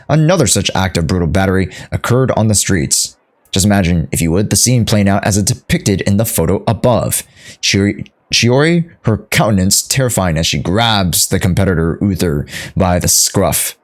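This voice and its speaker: A man's voice: low-pitched, lively intonation, moderate pace. A man streaming a game playthrough, casually reading text aloud and commenting on it as he goes. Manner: casual, reactive, echoes lines.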